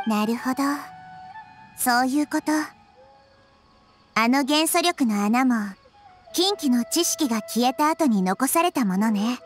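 A woman playing a wise, kindly childlike girl deity in an anime-style game, speaking in a calm, reassuring, articulate way. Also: sits high, a little slow, pauses often, soft background music. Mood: thoughtful.

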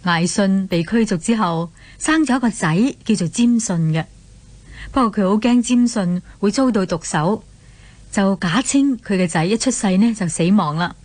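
Female narrator, Hong Kong Cantonese, medium pitch, dry studio sound, expressive scripted storytelling. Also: normal speed, no room sound. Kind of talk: scripted radio drama storytelling.

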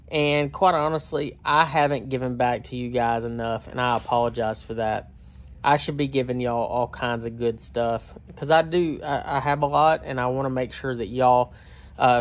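A man, hosting a fishing channel and talking informally straight to a phone camera on a cabin porch. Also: mid-range voice. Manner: talkative, informal, uses y'all. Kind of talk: casual direct-to-camera vlog. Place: outdoor porch of a wooden cabin. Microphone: phone camera at arm's length.

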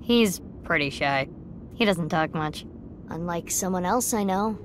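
A woman voicing a composed young female agent in a video game, speaking in a calm, matter-of-fact way. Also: on the low side, unhurried. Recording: game audio with background music.

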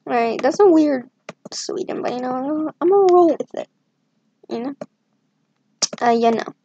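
A woman's voice: high-pitched, animated, moderate pace, gravelly, mellow, dull audio, a little hiss or hum. A woman talking to herself in casual, rambling commentary as she draws a map on screen, with jokey asides. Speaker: map-making video creator. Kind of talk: casual commentary while drawing.

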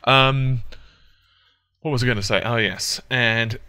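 A man's voice: medium pitch, fairly even intonation, slow pace, sharp tone, clean tone, no echo, studio quality. A man hosting a gaming tech news channel, explaining hardware in a rambling, self-correcting chat, a little congested from hay fever. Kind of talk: casual tech commentary over gameplay.

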